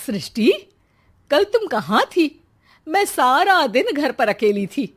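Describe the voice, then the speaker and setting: A woman voicing a naive, anxious middle-aged mother in an animated moral story, fretting and exclaiming. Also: sits high, slow pace, exaggerated voice. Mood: upset. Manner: fretful, exclaiming.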